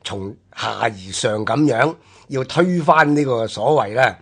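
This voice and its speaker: A man's voice: medium pitch, expressive, unhurried, a bit bright, husky. A man with a Hong Kong Cantonese accent co-hosting a history discussion, explaining in conversational, list-like phrases. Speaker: radio co-host.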